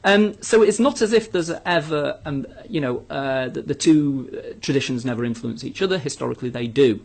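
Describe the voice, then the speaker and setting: A man's voice: mid-range voice, normal speed, creaky. A man giving an informal academic talk as a lecturer, answering questions off the cuff in a tentative, self-interrupting way, thinking aloud. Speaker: law lecturer leading a live question session.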